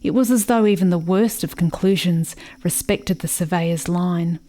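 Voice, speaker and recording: An actress in a radio play playing a grieving, watchful country sister, narrating in a reflective, measured way. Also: female voice, on the low side, dark tone, a little hiss or hum, close to an everyday voice. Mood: wistful.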